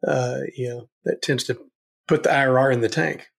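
A middle-aged man with a Southern US accent, a guest in an interview, talking earnestly and haltingly with many restarts. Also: mid-range voice, fairly even intonation, gravelly, breathy edge. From Tennessee, USA. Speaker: guest, real estate investor. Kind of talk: casual interview talk.

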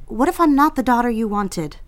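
A woman's voice: fairly high pitch, lively intonation, brisk, close to an everyday voice. A woman playing an earnest, proper young single career girl in an audio drama, speaking in old-fashioned, demure phrases. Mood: defensive. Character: earnest twenty-four-year-old single career girl telling her diary. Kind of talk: audio drama narration and dialogue. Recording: music under the voice. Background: music.

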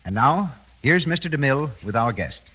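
A man's voice: medium pitch, very animated, fairly quick, sharp tone, breathy edge. A man working as a 1930s radio announcer, reading scripted continuity and advertising copy. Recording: dull vintage radio, long hall reverb.

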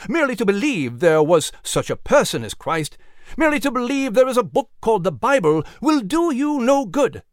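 امرأة تؤدي واعظًا متحمسًا بصوت عالٍ خطابي. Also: female voice, fairly high pitch, big pitch swings, normal speed, dry recording, clean studio sound, voice pushed up. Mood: earnest, admonishing. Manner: oratorical, imploring. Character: fervent male revival preacher, imploring and solemn.

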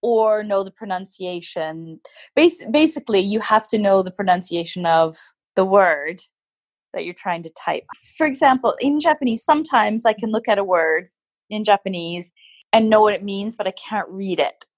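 A Canadian woman, a guest in an interview, explaining things patiently in casual chat, modest and quick to laugh at herself. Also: mid-range voice, expressive, dry recording. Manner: self-deprecating, laughs often, explains patiently.

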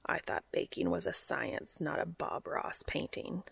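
A disgruntled, out-of-his-depth man in an audiobook, played by a woman, mutters glumly. The voice is lowered and gravelly, kept quiet and recorded close and dry. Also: animated, unhurried, no room sound, whispered, full character voice. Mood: grumbling. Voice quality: low, gravelly baritone as described. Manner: muttering, glum. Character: disgruntled, out-of-his-depth young male baking student.

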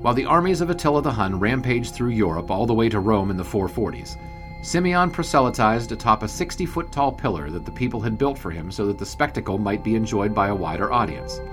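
An actor playing a wry 1920s sportswriter, narrating an audio drama in a wordy, grandiose storytelling manner. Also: male voice, fairly low pitch, big pitch swings, a little fast, faint music behind. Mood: measured, storytelling.

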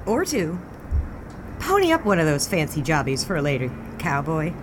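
A woman's voice: sits low, huge pitch swings, normal speed. An actress playing a self-assured, teasing 1920s lady newspaper editor in a period audio drama. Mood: flirtatious, teasing. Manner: arch, teasing. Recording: clear outdoor street and car noise.

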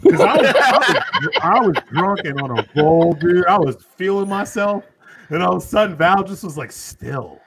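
A man co-hosting a podcast in casual, boozy banter, telling things in an animated, slangy and profane way. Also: high-pitched, moderate pace, gravelly. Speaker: podcast co-host.

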